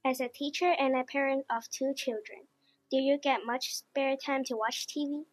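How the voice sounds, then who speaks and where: High-voiced girl reading questions. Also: fairly even intonation, moderate pace, no room sound. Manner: polite, scripted question reading. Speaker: student interviewer.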